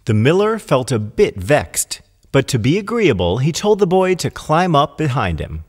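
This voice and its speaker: A man narrating a scripted storybook tale in an expressive, deliberate storytelling manner. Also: on the high side. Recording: long hall-like reverb.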